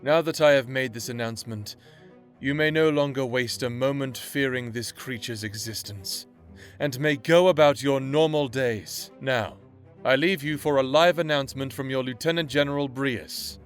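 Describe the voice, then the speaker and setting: A man's voice: mid-range voice, moderate pace, faint music behind, natural voice. A man playing a pompous, self-righteous general giving a formal, declamatory televised address in an audio drama. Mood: self-assured.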